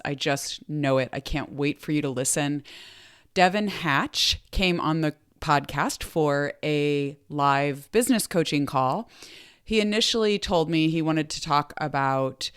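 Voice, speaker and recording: A woman in her forties hosting a podcast, upbeat and chatty, her voice still hoarse from being sick. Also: sits low, no room sound, studio quality. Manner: upbeat, chatty, self-promoting. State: hoarse after illness. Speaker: podcast host. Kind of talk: podcast intro and promo.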